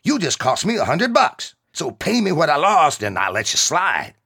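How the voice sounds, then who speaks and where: Brief: man putting on a huge, hot-tempered young soldier, shouting in a raised, strained pitch, threatening and loud. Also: huge pitch swings, moderate pace, vocal fry, slightly rough, dry recording, studio quality, full character voice. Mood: furious. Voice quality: loud, bullying. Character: enraged hulking young male army private.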